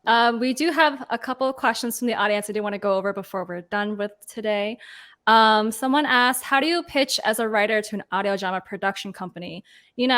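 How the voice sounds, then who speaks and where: Woman, medium pitch, panel moderator, casual, chatty, informal phrasing. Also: a bit bright. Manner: informal, chatty, acts out imagined remarks. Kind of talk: casual chat.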